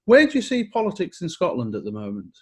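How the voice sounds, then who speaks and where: A man hosting a casual podcast interview, putting his questions in a halting, rephrasing way. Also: a little high, huge pitch swings, a little mellow, breathy edge.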